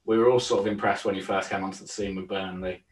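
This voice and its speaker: A young man with a fairly low voice, chatting casually as a football podcast co-host. The sound is echoey, with him sitting a bit back from the microphone. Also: fairly even intonation, quick pace. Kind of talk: casual sports chat. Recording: roomy, distant microphone.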